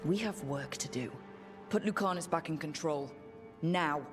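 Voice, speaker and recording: A composed heroine in a video game, wry and firm. Her voice sits very low for a woman, dry and questioning, with music clearly behind it. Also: unhurried. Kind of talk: video game character dialogue.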